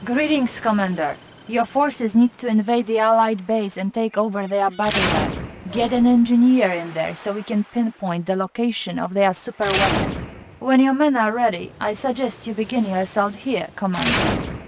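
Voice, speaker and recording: A woman playing a loyal Soviet military aide, measured and deferential, briefing her commander in a game. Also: medium pitch, noisy background, natural voice. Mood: crisp, businesslike.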